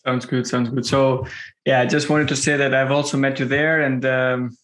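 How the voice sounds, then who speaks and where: A man's voice: medium pitch, level tone, normal speed, a bit bright. A young man hosting a podcast over a video call, speaking hesitantly with many fillers and restarts. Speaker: podcast host. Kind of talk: casual interview chat.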